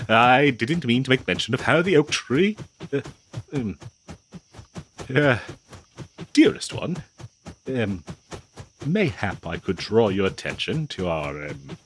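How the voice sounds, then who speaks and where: A man playing a flustered, devoted nineteenth-century gentleman in a comedic audio roleplay, verbose and old-fashioned, with a nervous stammer. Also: mid-range voice, a little hiss or hum.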